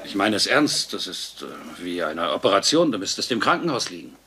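A man's voice: deep voice, very animated, fairly quick, a crowd in the background. A man playing a middle-aged husband and father in a dubbed sitcom, teasing yet supportive. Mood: earnest. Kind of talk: German-dubbed sitcom dialogue. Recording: clearly noisy background.

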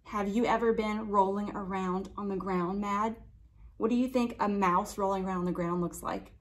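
A young adult woman reading a children's picture book aloud with expressive, drawn-out emphasis, pausing to put questions to young listeners. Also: mid-range voice. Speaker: library storytime reader.

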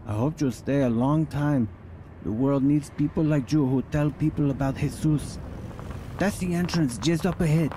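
A man's voice: on the high side, normal speed, dark tone, close to an everyday voice. An actor playing a friendly, proud male guide at a missionary station in a radio drama, over vehicle noise. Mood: proud, welcoming. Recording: clear vehicle noise behind.

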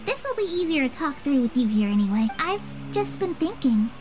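Really high little anime-game girl, earnest n unsure, music behind. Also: big pitch swings, brisk. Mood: troubled, pensive. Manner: hesitant, earnest. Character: earnest, troubled young girl in a fantasy game. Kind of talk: animated game dialogue.

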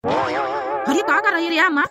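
A woman's voice: very high voice, measured pace, sharp tone, soft background music, full character voice. A woman voicing an exasperated young village bridegroom in a comedy cartoon, arguing and pleading with an Awadhi accent. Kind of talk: comedy cartoon voice acting in dialect.

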